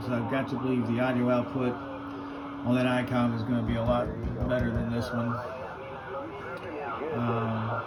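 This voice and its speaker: A man's voice: mid-range voice, low-quality recording. An American man giving a casual, unscripted gear demo in a colloquial, plain-spoken way. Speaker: radio hobbyist presenting a gear demo.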